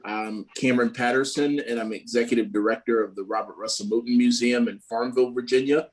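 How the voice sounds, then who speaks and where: A man introducing himself as a new board member over a video call, in a friendly, brisk way. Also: mid-range voice, fairly even intonation, low-quality recording. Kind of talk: brief self-introduction.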